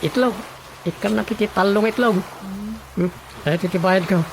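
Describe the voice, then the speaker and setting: A woman's voice: mid-range voice, normal speed, close to an everyday voice. A woman playing a grumbling, easily exasperated villager in a comedic radio drama, with an Ilocano Filipino accent and exclaiming delivery. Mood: puzzled, grumbling. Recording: clear background noise.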